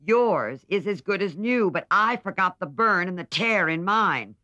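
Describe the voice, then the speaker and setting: A woman's voice: on the low side, expressive, a little slow, bright, forceful tone, no room sound, muffled recording, pitched above the performer's own voice. A woman voicing a blunt, careless teenage tomboy sister in a storybook, offhand in manner. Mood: blunt, dismayed. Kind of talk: storybook character reading.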